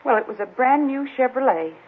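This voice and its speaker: A woman's voice: high voice, animated, fairly quick, slightly rough. A woman in a 1950s radio drama playing a fussy, indignant unmarried woman who reports her stolen car and scolds the police. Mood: prickly. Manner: huffy, scolding, easily offended. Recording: tinny lo-fi old radio broadcast with hiss.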